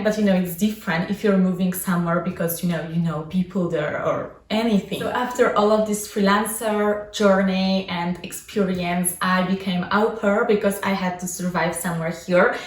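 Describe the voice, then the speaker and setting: A young adult woman whose first language is Polish, talking casually about her own life as a vlogger and coach, with many fillers like "like" and "you know". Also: mid-range voice. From Poland. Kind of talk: casual personal vlog. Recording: echoey room, mic at a distance.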